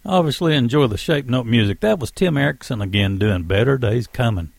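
A man hosting a small radio program, his voice heavily gravelly and husky. He introduces songs in a relaxed way, with fillers and pauses. The sound is dry and close, with no room around it. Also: mid-range voice, no room sound. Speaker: station and program host.

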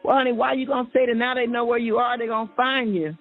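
A woman's voice: a little high, little pitch movement, quick pace. A woman hosting a psychic call-in radio show in a folksy, sing-song way, repeating her phrases. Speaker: radio psychic host taking callers. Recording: tinny phone-like radio feed, music behind.

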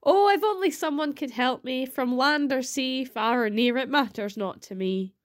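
A distressed farmer's housewife in a storybook tale, acted by a woman. Her voice is raised high as she pleads and frets, worn out by her work and at her wit's end. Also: normal speed, natural voice. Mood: weary pleading. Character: distressed, weary farmer's housewife crying out for help. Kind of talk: storybook character reading.

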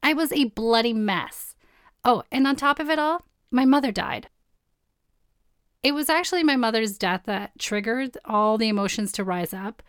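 A woman in her forties with a hoarse, partly lost voice, hosting a personal storytelling podcast in a candid, blunt way. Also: on the high side, lively intonation, normal speed, no echo, clean studio sound. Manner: candid, blunt, swears casually. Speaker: podcast host.

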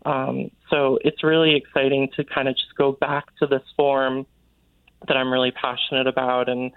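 A man's voice: medium pitch, flat delivery, normal speed, clean tone, dry recording. An American man from Connecticut, a guest author talking casually and informally in an interview, with lots of filler "like". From Connecticut, United States. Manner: informal, peppers speech with "like". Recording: narrow, tinny phone-like line.

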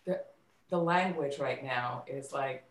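A woman talking casually as an interview guest, telling things in a conversational way and sometimes halting as she searches for words. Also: a bass voice. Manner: animated storytelling, quoting dialogue, halting when searching for words. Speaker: interview guest, theater company co-director. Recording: roomy, echoey, distant microphone.

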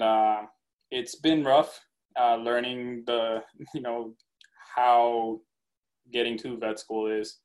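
Young male student panelist from Colombia, native Spanish speaker, hesitant casual delivery, flat intonation. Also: mid-range voice, poor audio. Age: young adult. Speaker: veterinary student, podcast guest.

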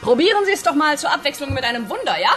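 This is a bossy, sarcastic female agency chief from a comic radio play. She speaks fairly high and with animated intonation, issuing demands like someone used to being obeyed. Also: rapid-fire, faint music behind. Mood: stern, pushy. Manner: commanding, sarcastic. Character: brisk, demanding female boss of a heavenly design agency.

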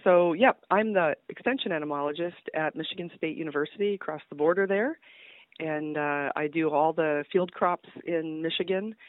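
A middle-aged woman with a cold, a university entomologist speaking as a guest, explaining field research in a brisk, practical way with many "uh"s. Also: deep voice, no room sound. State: has a cold. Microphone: phone call. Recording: dry, tinny phone line.

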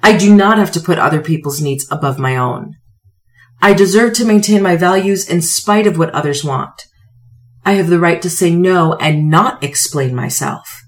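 Female motivational podcast host, forties, lower register, slightly hoarse, emphatic conversational delivery with deliberate pauses. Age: 40s. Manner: emphatic, pointed pauses, rhetorical questions. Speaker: podcast host, self-help coach. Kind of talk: motivational talk to listeners, conversational.

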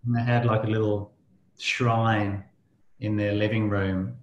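A man's voice: on the low side, flat delivery, measured pace, reverberant, lo-fi sound. A man hosting a podcast interview, chatting loosely, telling stories with a laugh and some swearing. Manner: storytelling, swears freely, laughs while talking. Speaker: podcast host. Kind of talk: casual interview chat.

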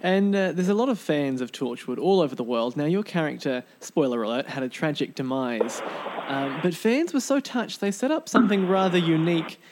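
A man's voice: fairly high pitch, expressive, normal speed. A man hosting a podcast, putting prepared questions to a guest. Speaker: podcast host, interviewer. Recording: clearly noisy recording with clicks.

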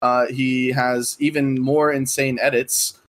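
A man's voice: medium pitch, level tone, slow pace, a bit bright. An American man hosting a podcast, joking and self-mocking and laughing often as he chats. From United States. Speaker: podcast host. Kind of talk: casual podcast chat.

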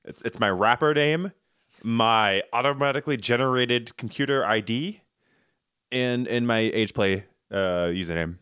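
A man co-hosting a casual podcast chat, laid-back and quick to laugh. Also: mid-range voice, fairly bright tone, clear, resonant tone, no room sound, studio quality. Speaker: podcast co-host.

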